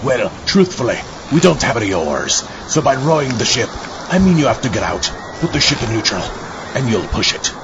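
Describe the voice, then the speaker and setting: A sly, gleefully dishonest captain, swings up and down in pitch. It is comic audio drama, in dull, lo-fi sound with clear noise behind. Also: male voice, very animated, moderate pace. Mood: bossy, sly. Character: sly, scurvy old pirate captain, gleefully lying.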